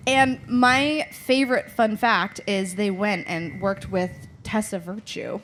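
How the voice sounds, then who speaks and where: A woman co-hosting a live podcast, chatting casually in an excitable, emphatic way. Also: mid-range voice, slow pace. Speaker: podcast co-host. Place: live show in front of an audience. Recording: faint crowd and noise at live show.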